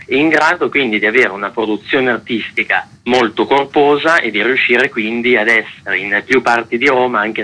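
Male festival organizer, lowish bright voice, measured with restarts, radio interview. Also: rough recording. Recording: radio broadcast.

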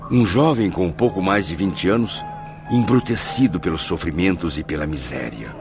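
A man narrates a radio drama solemnly. His low voice sits over clear background music. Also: animated, normal speed. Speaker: first-person narrator.

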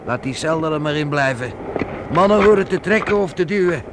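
A man's voice: a little high, lively intonation, brisk, background noise, close to an everyday voice. An actor playing a good-natured, chatty young man in a radio play. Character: Jeroen, good-natured young male helper.

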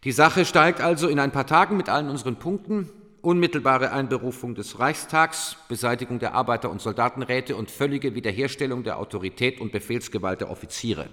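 An actor playing a businesslike, self-satisfied army colonel in a radio drama, clipped and officious. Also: male voice, mid-range voice, fairly quick, hardly any pauses, fairly bright tone, lightly acted. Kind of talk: German radio drama acting. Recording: long reverberant hall.

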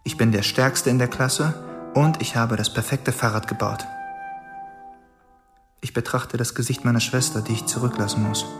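A man playing a proud, inventive schoolboy in a measured, introspective radio drama monologue. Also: medium pitch, fairly even intonation, close to an everyday voice. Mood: quietly determined. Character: proud, restless schoolboy, inventive older brother, solitary. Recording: clear music behind the voice.

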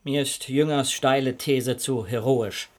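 A man's voice: medium pitch, steady tone, unhurried, lightly acted. A man playing an idealistic wartime conductor. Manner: earnest, lofty and idealistic. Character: male conductor in wartime Berlin, earnest and cultured. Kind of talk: radio play acting.

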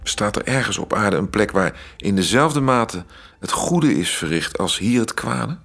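An actor playing a cultured, brooding, articulate man in a radio play, with weighted, halting phrasing. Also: fairly low pitch, animated, gravelly, a bit bright, exaggerated voice. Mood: grave, haunted.

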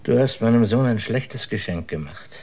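A man's voice: medium pitch, lively intonation, moderate pace, creaky, a little mellow, breathy edge. An actor in a German radio drama playing a stern, distrustful father who speaks in a curt, disapproving way.

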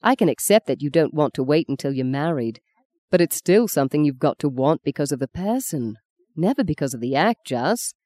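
A woman voicing a gentle, devout young man. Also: deep voice, brisk. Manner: soft, sad, steady.

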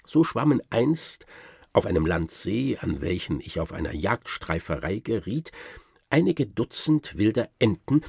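Radio play raconteur: self-satisfied gentleman hunter, lowish voice with very animated, swooping delivery. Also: a little slow, vocal fry, slightly rough, no echo, studio quality, heavily acted. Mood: boastful, relishing. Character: boastful gentleman hunter spinning absurd tall tales. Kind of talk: theatrical first-person storytelling, German radio drama.

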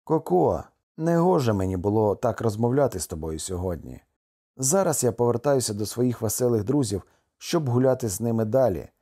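A man's voice: mid-range voice, lively intonation, fairly quick, warm tone, husky. A man voicing a brooding husband from a storybook, stern and desperate, with an emphatic delivery. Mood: tender, forced cheer.

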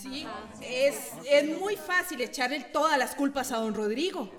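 An actress playing an indignant, outspoken villager scolding neighbours in a radio drama. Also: female voice, high-pitched, lively intonation, unhurried.

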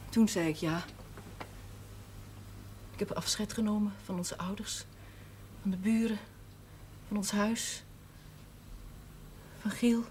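An actress playing a self-sacrificing priest's housekeeper in a television drama, earnest, plain-spoken and resigned. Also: female voice, medium pitch, slow, long pauses, darkish tone, natural voice. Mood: subdued, regretful. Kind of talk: naturalistic television drama acting. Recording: noisy old television soundtrack.

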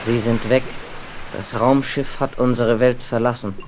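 Mann spielt ernstes, wachsames körperloses Geistwesen. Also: male voice, mid-range voice, fairly even intonation, heavy background noise, close to an everyday voice. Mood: calm, reflective. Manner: earnest, measured, declarative. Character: watchful disembodied male mind newly merged with plasma. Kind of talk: 1970s German science fiction radio drama acting.

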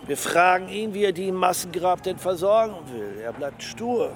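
A man playing a gruff, chummy police inspector and war veteran in a radio drama, hearty and coarse like a storyteller. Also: high voice, expressive, moderate pace. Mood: chummy storytelling. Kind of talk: German radio drama acting. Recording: clear outdoor street noise.

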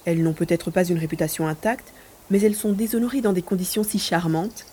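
A woman's voice: fairly low pitch, a little fast, warm tone, husky. A woman playing a bored, romantic provincial spinster in a French audio drama, with a mannered, theatrical delivery. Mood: wistful.

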